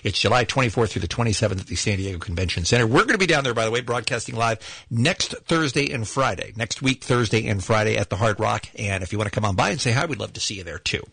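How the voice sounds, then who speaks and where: Upbeat male morning DJ, lively delivery, bright slightly husky tone, dry booth. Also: lowish voice, fast talker, hardly any pauses, dry recording. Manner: upbeat, plugs and teases. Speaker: radio host. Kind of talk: morning radio banter. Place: radio studio.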